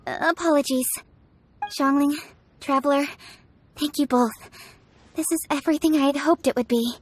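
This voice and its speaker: A woman's voice: high-pitched, moderate pace, slight background noise, lightly acted. A woman voicing a dignified, reflective young female official in a video game, formal and composed, with articulate phrasing. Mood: moved, grateful. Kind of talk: video game character dialogue. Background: music.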